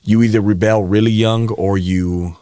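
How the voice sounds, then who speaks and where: A man around thirty who grew up in Hawaii, a podcast guest telling stories from his life in a relaxed way, laughing often and getting animated as the story builds. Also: on the low side, normal speed, clear, resonant tone, no room sound, studio quality. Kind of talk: candid storytelling interview. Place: podcast studio. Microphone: studio mic.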